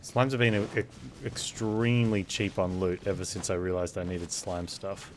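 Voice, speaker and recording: A man's voice: sits low, level tone, moderate pace, a little hiss or hum. A man streaming a video game, giving casual commentary and muttering to himself as he plays.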